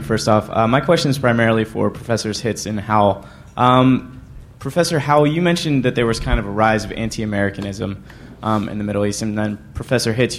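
A man's voice: fairly low pitch, a little hiss or hum. A young man at an audience microphone asking a panel a polite, tentative question with many ums.

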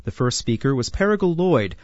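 A man narrates a scripted feature with lively, varied pitch. The sound is dry and close, though a little dull and low in fidelity. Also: brisk, no echo. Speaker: narrator, reporter.

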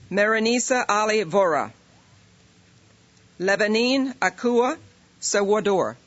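A woman, an immigration official, reading out a long list of names at a ceremony in a steady, measured way. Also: fairly high pitch. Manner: steady, measured roll call. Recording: dull lo-fi recording.

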